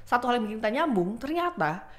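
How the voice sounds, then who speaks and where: A woman's voice: a little high, very animated, quick pace. A woman in her twenties with a Jakarta accent, telling stories in casual slangy chat and acting out the quotes as she goes. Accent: Jakarta Indonesian. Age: 20s. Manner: chatty, slangy storytelling with acted-out quotes. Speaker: podcast guest.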